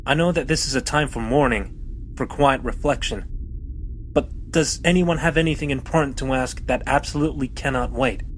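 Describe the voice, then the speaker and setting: A man voices an idealistic robot captain with a measured, formal delivery. He sounds earnest and steady, and a faint hiss sits under his speech. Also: medium pitch, lightly acted. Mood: solemn. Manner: formal, solemn speech-making. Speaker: ship's captain. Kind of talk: fan audio drama acting.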